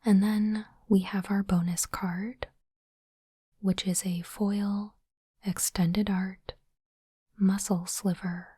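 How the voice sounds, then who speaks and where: A woman whispering gently and breathily in an ASMR style, in unhurried short phrases, close to the microphone. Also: medium pitch, little pitch movement, dry recording. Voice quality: soft, breathy unvoiced whisper. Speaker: ASMR host unboxing trading cards. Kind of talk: whispered ASMR, reading cards and commenting. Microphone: close microphone, dry.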